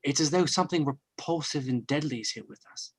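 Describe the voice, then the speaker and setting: An actress playing an anxious woman, nervous and halting. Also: low-pitched, husky. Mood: uneasy. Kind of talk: old time radio drama acting.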